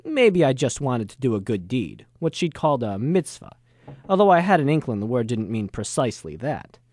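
A man's voice: mid-range voice, sing-song, moderate pace, darkish tone, dry recording. Guy acting as wisecracking 1930s american newsman, noir radio narration, swingy intonation, dry sound. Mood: musing. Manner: wisecracking, slangy. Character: wry, hard-boiled American ex-journalist of thirty-two in 1939. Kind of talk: noir radio drama, first-person narration and dialogue.

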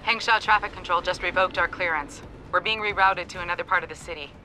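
Young female pilot: very sharp bright voice, confident, wry, game dialogue over music. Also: lowish voice, fairly even intonation, fast, poor audio. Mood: brisk, wry. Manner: confident, teasing, clipped radio-call phrasing. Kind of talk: video game acting.

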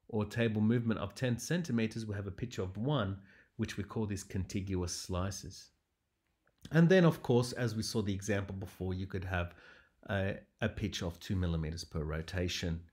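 A man's voice: on the low side, a little mellow. A man lecturing over slides as a radiology lecturer, explaining things methodically. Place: voice-over recorded over presentation slides.

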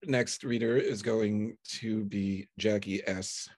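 A man's voice: lowish voice, steady tone, slow pace, darkish tone, no echo. A man in his forties hosting a reading, introducing the next reader over a video call. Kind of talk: event introduction. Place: small bedroom.

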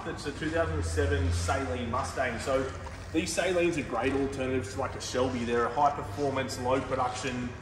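A man hosting a casual car showroom walkthrough, talking enthusiastically with relaxed fillers. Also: medium pitch. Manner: laid-back, enthusiastic car talk with fillers. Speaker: car dealer host. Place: large indoor car showroom. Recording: roomy reverb, mic far off, background noise.

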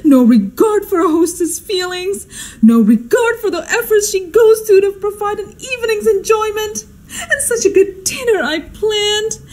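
A woman acts out an imperious society hostess in a radio play. Her voice is high and swings widely, with drawn-out, slow delivery. She is melodramatic, easily outraged and forever scolding. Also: expressive, a little mellow. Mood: outraged, wailing. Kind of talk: radio drama acting.

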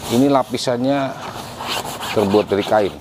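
A man in his forties casually reviewing a camping air mattress for viewers, relaxed and chatty, recorded indoors at home on a camera mic a few meters away. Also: medium pitch, moderate pace, background noise. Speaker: product reviewer.

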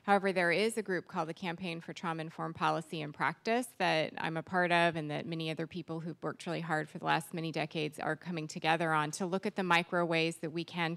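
A woman in her forties speaks on a conference panel, her pitch a touch low. She talks fluently in long, flowing sentences about policy, holding a handheld microphone in a room with an audience. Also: a bit bright. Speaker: panelist, trauma policy advocate. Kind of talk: panel discussion remarks.

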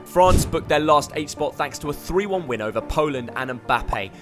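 A man giving energetic, scripted football vlog narration. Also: fairly high pitch, moderate pace. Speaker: football vlogger. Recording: music bed behind voice.

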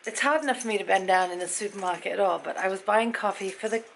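A woman in her fifties from Britain with an English accent, chatting to a handheld camera in a dim room at night. Her voice is croaky, and she rambles and laughs often. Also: mid-range voice, quick pace, a little hiss or hum. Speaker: vlogger. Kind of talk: casual chat to camera. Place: bedroom at night, dim lamp light. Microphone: handheld camera at arm's length.

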